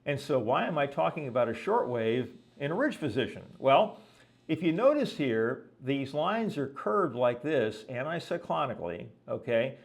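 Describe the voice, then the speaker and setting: A man's voice: medium pitch, big pitch swings, normal speed, vocal fry. A man giving an explanatory lesson as a weather presenter, talking conversationally and often checking in with the listener. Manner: didactic, conversational, frequently checking with "okay?". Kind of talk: explanatory lecture with visual examples.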